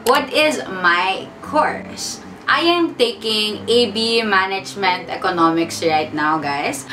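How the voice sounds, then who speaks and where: A woman's voice: medium pitch, expressive, takes it slowly, sharp tone, faint music behind. Chatty young Filipino woman, distant mic. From Philippines. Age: 20s. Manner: chatty, switching between English and Tagalog, playful. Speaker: vlogger. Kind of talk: casual sit-down Q&A chat. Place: at home. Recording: distant mic with background noise.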